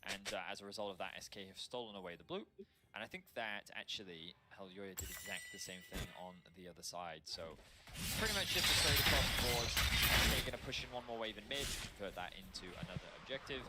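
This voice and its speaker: A man giving animated, laughing analysis as an esports co-caster. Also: on the low side, fast talker, heavy background noise.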